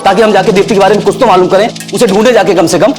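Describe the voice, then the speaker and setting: A man's voice: high-pitched, fairly even intonation, very fast, a little mellow, natural voice. An actor playing an emotional, insistent man close to a worried family in a TV crime drama, with music behind. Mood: agitated, pleading. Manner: emotional, pleading. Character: agitated, insistent male friend of a victim's family. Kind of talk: Hindi TV crime serial acting.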